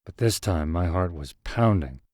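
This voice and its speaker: Male narrator, scripted first-person fiction. Fairly low voice, very dry sound. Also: animated, normal speed, a little mellow, no echo.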